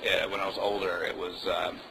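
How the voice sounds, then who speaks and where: A male musician chatting casually as an interview guest, relaxed and rambling, with lots of fillers. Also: low-pitched, fairly quick, vocal fry, noisy background. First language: Indonesian. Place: music club.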